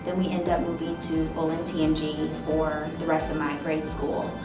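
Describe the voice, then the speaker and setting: A woman in her thirties, a teacher, reflecting thoughtfully in an oral history interview, often pausing with "um" and "you know". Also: low voice, monotone. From Michigan, raised in Ohio. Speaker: teacher and instructional coach. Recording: roomy, distant mic with music behind.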